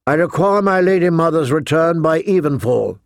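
A man's voice: a little high, lively intonation, moderate pace, creaky, no echo. A man reads a young commanding lord in a fantasy audiobook. He is formal, firm and decisive, recorded dry and close.

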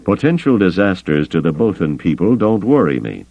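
Man playing a low, wry smuggler captain. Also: sing-song, normal speed, creaky, darkish tone, muffled recording, heavily acted. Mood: coolly challenging. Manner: sardonic, firm, unimpressed. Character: wry, hard-nosed male smuggler captain.

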